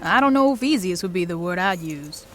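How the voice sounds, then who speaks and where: A woman's voice: on the low side, lively intonation, a little fast, close to an everyday voice. A woman playing a tough, foul-mouthed female gang boss in a video game, blunt and dry. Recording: game audio with background noise.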